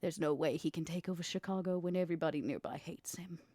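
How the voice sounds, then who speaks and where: A woman playing an imperious, ruthless female vampire schemer in a tabletop roleplay, speaking deliberately with dramatic pauses. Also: lowish voice, fairly even intonation, mellow, no room sound, lightly acted. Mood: contemptuous, vengeful. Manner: deliberate, dramatic pauses, stressed key words. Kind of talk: in-character tabletop roleplay acting.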